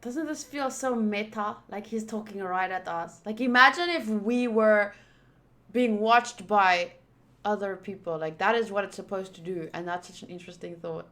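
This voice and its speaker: A woman's voice: medium pitch, moderate pace, fairly bright tone. A woman giving casual game playthrough commentary, chatty and musing aloud as she hosts. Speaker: gaming channel host.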